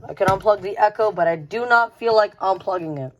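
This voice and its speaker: A woman's voice: medium pitch, fairly quick, dull audio, slight background noise. A woman giving a casual, unscripted show-and-tell of a collection, rambling and often repeating phrases. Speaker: radio collector showing a collection.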